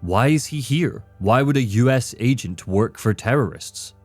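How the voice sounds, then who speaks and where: A man's voice: mid-range voice, animated, moderate pace, dry recording, faint music behind. A man giving scripted video essay narration in a wry, opinionated way.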